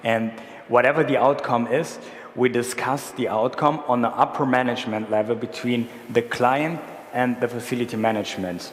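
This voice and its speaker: A man's voice: medium pitch, fairly even intonation, moderate pace. A man from Brazil giving a conference presentation, walking through slides and often correcting himself mid-sentence. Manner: self-correcting, explaining slides. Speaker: conference speaker. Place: large conference hall. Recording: echoey hall with faint room noise.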